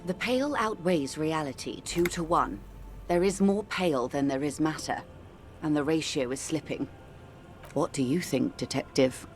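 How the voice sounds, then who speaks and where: An actress playing a composed, wealthy middle-aged corporate negotiator in a video game, speaking in a measured, articulate, world-weary way. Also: female voice, sits low, slight background noise, lightly acted. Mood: measured, lecturing. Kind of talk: video game character dialogue.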